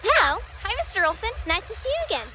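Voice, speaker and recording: A woman's voice: very high voice, very animated, quick pace, background noise. A polite, curious kid in a children's puppet show, played by a woman. The delivery is cautious and well-mannered. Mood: friendly.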